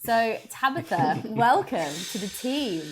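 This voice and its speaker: A woman's voice: fairly high pitch, big pitch swings, takes it slowly, over a noisy crowd, low-quality recording. A woman hosting an informal, upbeat podcast conversation about theatre as its producer. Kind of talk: podcast announcement and interview.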